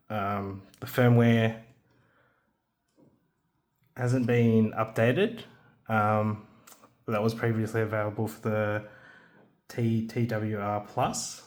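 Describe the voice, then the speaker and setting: A man with a somewhat low voice talks through a small electronics project. His delivery is loose and unhurried. He stops often to hedge and restart, as if explaining it to a friend at the workbench. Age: adult, has a young son. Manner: relaxed, hesitant, many ums and restarts. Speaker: maker showing a radio kit. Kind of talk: casual hands-on product demo.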